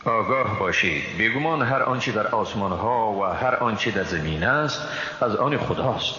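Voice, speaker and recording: A man with an Afghan Dari accent reads a scripture translation in a formal, solemn, measured way. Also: on the low side, expressive, pushed and bright. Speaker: translation reader. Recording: reverberant hall, dull, noisy background.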